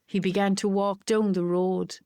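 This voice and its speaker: A woman telling a folk tale in a slow, rhythmic, chant-like way, then chatting as a co-host. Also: mid-range voice, flat delivery, warm tone, smooth, no echo, studio quality. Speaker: storyteller, co-host. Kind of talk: oral storytelling and conversation.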